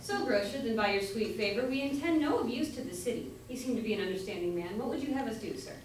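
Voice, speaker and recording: A woman's voice: fairly high pitch, lively intonation, quick pace, close to an everyday voice. A woman playing a courteous, somewhat exasperated young actor in an amateur staged reading of an old comedy, speaking politely and formally. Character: exasperated, courteous young player giving prologue. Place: indoor room at a college, far from mic. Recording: distant echoey room, faint noise.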